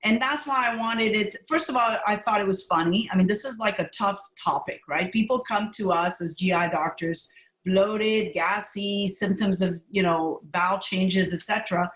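A middle-aged woman doctor from Montreal talks in a lively, candid way, often breaking into laughter. Her voice sits at an ordinary pitch for a woman. It rings with a noticeable room echo. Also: moderate pace. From Montreal, Canada. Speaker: gastroenterologist guest. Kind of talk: conversational podcast interview.

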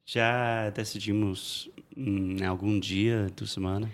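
Male podcast co-host, lowish pitch with plenty of fry, conversational teacher style. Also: fairly even intonation, takes it slowly. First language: English. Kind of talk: casual bilingual podcast chat.

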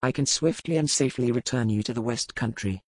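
A stern pirate captain, as a woman reader lowers her voice. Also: darkish tone, no room sound. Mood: grave. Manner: measured, formal speech. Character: stern male pirate captain, grave and resolute. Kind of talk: audiobook character voice.

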